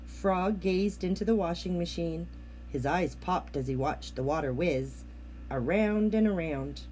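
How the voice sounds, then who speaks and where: A woman narrates a children's story expressively. Her delivery is slow and her tone is soft and mellow, with faint noise in the background. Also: on the low side, rough recording. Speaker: storybook reader.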